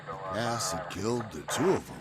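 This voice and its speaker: A man's voice: low voice, normal speed, natural voice. A man acting a sceptical, reasoning character in a 1940s-style horror radio play. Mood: firm, dismissive. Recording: very noisy, clicking audio.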